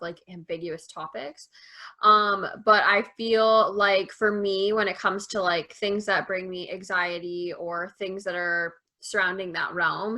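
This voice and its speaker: Bright-voiced young woman guest, reflective. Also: medium pitch. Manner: thoughtful, says "like" often. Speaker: interview guest. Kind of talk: casual reflective chat.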